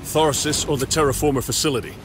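An actor playing a cynical, terse space marine mercenary in a video game. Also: male voice, on the high side, fairly even intonation, fast talker, background noise, lightly acted. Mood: urgent. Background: game music.

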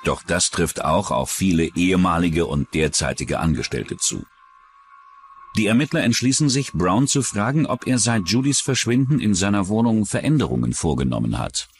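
A man's voice: deep voice, expressive, normal speed, breathy edge. A man reading scripted true-crime documentary narration as the narrator.

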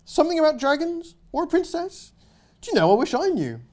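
A man's voice: extremely high pitch, sing-song, fairly quick, a little mellow, voice pushed up. A man voicing a cheerful, clueless male knight with plummy, upper-class speech.

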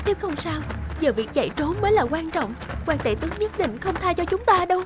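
A woman playing an anxious, devoted young wife in a folktale cartoon, with a gentle, pleading manner. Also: very high voice, sing-song, a little fast.

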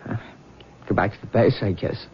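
An actor playing an earnest, devoted young soldier in a 1970s radio drama, pleading and faltering. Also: male voice, normal speed, a little hiss or hum, close to an everyday voice. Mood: hurt devotion. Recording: dull 1970s radio broadcast recording.

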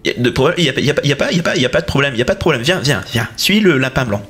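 A man's voice: mid-range voice, animated, very fast, fairly bright tone, soft background music, natural voice. A man playing a nervous, kindly, eccentric scientist uncle in an audio drama, stammering and repeating his words over and over. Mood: jittery, insistent.

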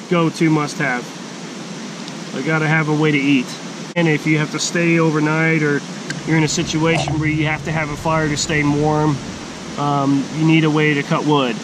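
Middle-aged man, hiking vlog, halting unhurried delivery, outdoors by a stream, steady hiss behind. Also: on the high side. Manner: unhurried, plain-spoken, halting. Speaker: hiking vlogger. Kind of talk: casual gear show-and-tell.

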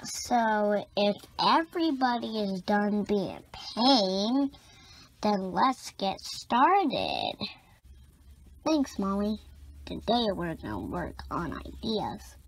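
A woman's voice: medium pitch, very animated, slow, poor audio. A woman reading a children's comic book aloud, expressively acting out the dialogue. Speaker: storybook reader.

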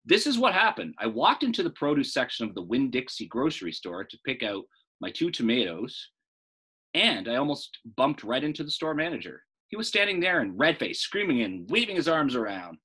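Animated, bright-voiced man reading aloud. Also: mid-range voice, brisk, breathy edge, rough recording. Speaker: storyteller reading a children's book aloud.

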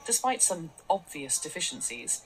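A patronising female business boss in a radio play. Her voice is on the low side and her delivery is cool and measured, with faint noise on the recording. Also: very animated, poor audio. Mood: patronising, persuasive. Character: Tanya, smooth-talking, condescending female tech boss. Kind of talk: radio drama acting. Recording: faint noise, played back through a speaker.

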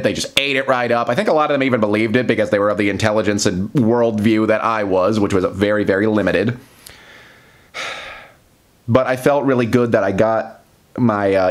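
Male vlogger, American, thirties, slightly deep voice; casual confessional monologue, self-deprecating and meandering. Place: small plain room indoors.

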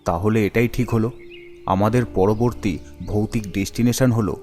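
A man voicing a young male ghost-hunting friend, curious and measured, in an animated ghost story. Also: lowish voice, soft background music, voice pushed down, close to an everyday voice.

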